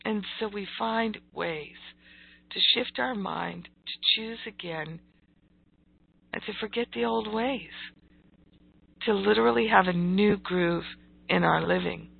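Croaky woman teaching slowly over a phone-like line. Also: medium pitch. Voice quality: croaky, gravelly. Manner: deliberate, emphatic, repeats phrases. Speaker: spiritual class teacher. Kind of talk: slow motivational spiritual teaching. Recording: thin, phone-like audio.

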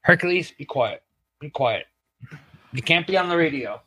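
A man's voice: on the high side, expressive, normal speed, pushed and bright, husky, dry recording, poor audio. A man hosting a podcast in casual chat, musing hesitantly as he thinks things over. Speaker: podcast host.

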